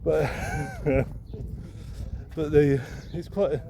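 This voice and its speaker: A man's voice: fairly high pitch, fairly even intonation, very slow, vocal fry. Man rambling casually over street noise. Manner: rambling, hesitant, thinking aloud. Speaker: second-hand bookseller and vlogger. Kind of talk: casual unscripted vlog musing. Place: outdoors, walking along a town street. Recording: outdoor street noise with passing traffic. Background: street ambience and vehicles.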